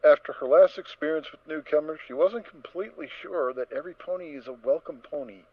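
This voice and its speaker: A man's voice: on the high side, a little fast, no echo, muffled recording. A man narrating a scripted story in a dramatic reading style.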